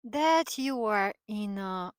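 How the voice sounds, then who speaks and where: A woman leading a guided meditation in a soothing, gentle manner, with drawn-out phrases and long pauses. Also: mid-range voice, expressive, no room sound, clean studio sound. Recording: voice over prominent background music. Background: meditation music.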